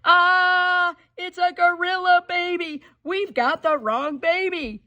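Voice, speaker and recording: An alarmed parent in a picture book, acted out by a woman. The voice leaps very high, yelling out in startled exclamations. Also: fairly even intonation, slow pace, lo-fi sound, full character voice. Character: startled, yelping parents alarmed by a wild baby.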